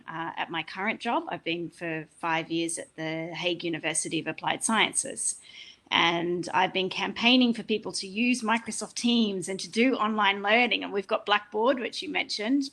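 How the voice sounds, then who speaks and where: A woman's voice: lowish voice, fairly bright tone. A woman with an Australian accent, moderating an online panel in a chatty, enthusiastic way over a video call. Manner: chatty, enthusiastic, personal asides.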